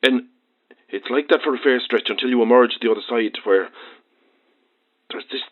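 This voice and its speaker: An actor playing a frightened, agitated Irish truck driver who stammers through a late-night phone-in in an audio drama. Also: male voice, mid-range voice. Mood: shaken, urgent. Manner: halting, stammering, rambling colloquial storytelling. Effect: phone line. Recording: muffled, tinny phone line.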